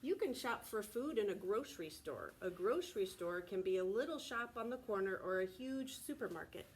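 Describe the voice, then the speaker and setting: A woman preschool teacher reading aloud to young children in a deliberate, child-directed way, often asking prompting questions. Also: mid-range voice, animated, a little hiss or hum. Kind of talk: read-aloud and sing-song circle time for young children.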